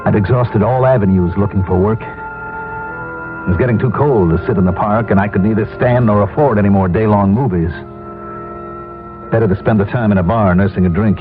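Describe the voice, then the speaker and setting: An old, narrow-band radio recording with music behind. An actor plays an anxious middle-aged man with a lowish voice, brooding and confessional in 1970s drama style. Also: fairly quick, close to an everyday voice. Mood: brooding, grim. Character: anxious, desperate middle-aged husband, out of work. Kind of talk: 1970s radio drama acting and first-person narration.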